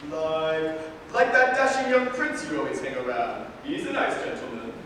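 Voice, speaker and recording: A man's voice: on the high side, sing-song, normal speed. A man playing a pompous, protective king and father in a staged play reading, speaking formally and dramatically. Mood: fatherly. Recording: echoey hall, distant mic, background noise.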